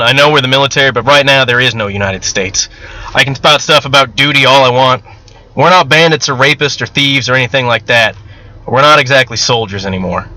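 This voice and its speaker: A man reads as a pragmatic army sergeant in his thirties in an audiobook story. He speaks bluntly and matter-of-factly, with a forceful, bright voice of middling pitch. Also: rough recording. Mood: blunt, firm. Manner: matter-of-fact, plain-spoken military talk.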